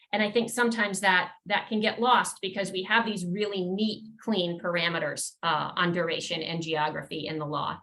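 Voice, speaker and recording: A woman attorney presenting a professional webinar, explaining points in a measured way with occasional fillers and restarts. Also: mid-range voice, a bit bright.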